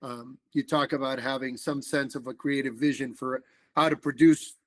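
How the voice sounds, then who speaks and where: A middle-aged man from the Dominican Republic, talking casually as a podcast guest in an animated, rambling way with lots of fillers and restarts. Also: mid-range voice, moderate pace, dry recording. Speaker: political organizer guest.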